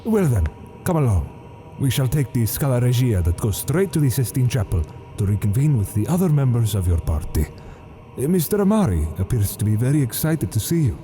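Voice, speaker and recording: An actor playing a devout, courteous elderly cardinal who talks in a formal, polite and earnest way. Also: male voice, medium pitch, sing-song, fairly quick, mellow, faint music behind, natural voice.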